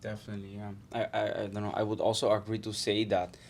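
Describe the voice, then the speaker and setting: A man from Aruba who co-hosts a podcast, speaking in a thoughtful, soft-spoken way and searching for his words. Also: on the low side, completely flat delivery, fast, slight background noise. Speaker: podcast co-host. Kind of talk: reflective casual chat.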